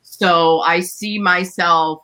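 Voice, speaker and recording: A woman's voice: fairly even intonation, drawn-out words, sharp tone. A woman in her thirties, a DJ co-hosting a casual chat, laid-back and slangy, laughing often. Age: 30s. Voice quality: says her voice is deep. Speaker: DJ and podcast co-host.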